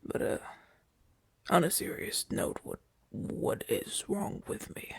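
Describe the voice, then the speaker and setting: A man playing a proud, wounded gladiator champion in an ASMR audio roleplay, speaking in a weary, halting, breathy way with gruff bluster. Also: no room sound, close to an everyday voice. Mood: wry, uneasy. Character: wounded male gladiator champion, brash, proud, trusting his doctor.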